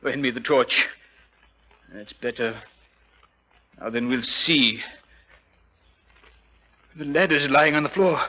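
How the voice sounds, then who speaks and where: An actor playing a domineering, easily alarmed aristocrat in a dramatic, exclamatory 1940s radio style. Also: male voice, mid-range voice, slow, long pauses, pushed and bright, muffled recording. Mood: alarmed, fearful.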